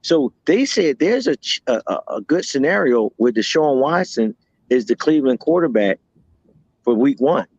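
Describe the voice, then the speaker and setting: Animated man, dull dry podcast mic. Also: medium pitch, normal speed, no room sound. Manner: animated, talks in bursts with repeats. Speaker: podcast co-host. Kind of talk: casual sports chat.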